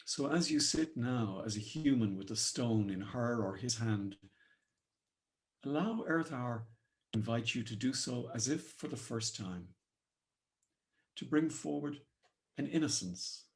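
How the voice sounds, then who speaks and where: An Irish man with an Irish accent leading a guided meditation in measured, solemn, poetic phrases. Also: on the low side, darkish tone. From Ireland.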